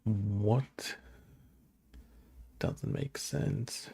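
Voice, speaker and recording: A man with a mellow, gravelly voice talks to himself while programming. He speaks very slowly and haltingly, in casual mutters, as though working things out aloud. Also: lowish voice, fairly even intonation. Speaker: game developer.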